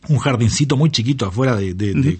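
An older man chatting as a guest in a radio interview, reflective and unhurried, with many fillers and tag questions. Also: medium pitch, a little mellow, slightly rough. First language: Italian is his second mother tongue. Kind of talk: relaxed conversational interview.

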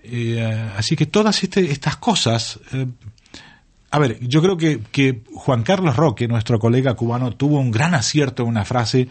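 Husky older man, reflective radio guest. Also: mid-range voice, expressive, normal speed, darkish tone. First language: Italian is his second mother tongue. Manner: reflective, with frequent fillers and tag questions. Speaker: retiring radio colleague, interview guest. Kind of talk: relaxed conversational interview.

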